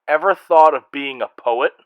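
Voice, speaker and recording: A man's voice: on the high side, normal speed, clean tone, no echo, phone-quality sound. A man hosting a scripted comedy segment and reading out listener messages in a sarcastic, snide manner.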